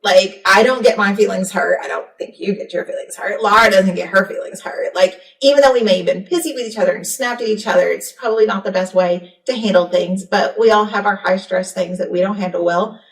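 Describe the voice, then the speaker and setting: A woman's voice: medium pitch, fairly quick, gravelly, hardly any pauses. A woman who hosts a podcast and talks in a frank, candid, casual way, swearing now and then. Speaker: podcast host, therapist. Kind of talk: casual chat. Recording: roomy, distant from the mic.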